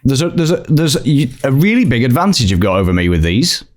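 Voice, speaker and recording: A man with a lively, swooping pitch that rises and falls as he tells a story. He hosts a casual podcast chat and talks with relaxed, chatty ease. His manner is storytelling, and he throws in bits of a mock Scottish voice. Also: quick pace. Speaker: podcast host. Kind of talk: casual banter.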